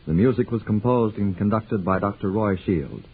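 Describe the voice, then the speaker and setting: Formal 1940s radio announcer. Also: male voice, lowish voice, normal speed, slight background noise. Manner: formal, polished announcer delivery. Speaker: network announcer. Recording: tinny 1940s radio broadcast with music.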